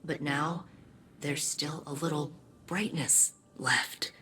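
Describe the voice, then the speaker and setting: A woman voicing a lonely but hopeful young character in a video game, halting and understated in her delivery. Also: low-pitched, fairly even intonation, sharp tone, slight background noise, full character voice. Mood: wistful, hopeful.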